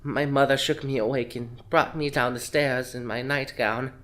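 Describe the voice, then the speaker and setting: A man's voice: medium pitch, steady tone, moderate pace, a bit bright, close to an everyday voice. Man playing a chatty, evasive middle-aged grandmother. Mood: flustered, friendly. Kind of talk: audio drama acting.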